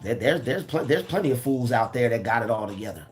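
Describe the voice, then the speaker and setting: A man with a raspy voice giving a casual, preachy motivational talk, repeating his points. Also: mid-range voice, animated, fast, faint music behind. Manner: repeats phrases, preachy and earnest. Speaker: motivational vlogger.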